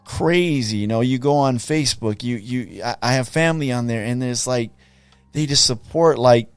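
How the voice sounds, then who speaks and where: A man hosting a podcast interview, talking casually in a halting way, with many fillers and repeated words. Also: medium pitch, clean tone, no room sound, faint music behind. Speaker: podcast host.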